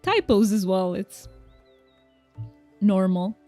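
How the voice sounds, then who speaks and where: A woman's voice: mid-range voice, takes it slowly, warm tone, faint music behind. A young woman whose first language is Romanian, chatting casually and reassuringly to viewers while playing a game, laughing often. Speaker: streamer. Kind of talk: casual game stream chat.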